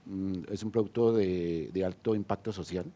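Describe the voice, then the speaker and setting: A Colombian man speaking on a conference panel in a low, mellow voice. He talks hesitantly, full of fillers and restarts as he explains his work. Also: steady tone, normal speed, highs cut off. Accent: Colombian Spanish. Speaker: entrepreneur panelist. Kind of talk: panel discussion.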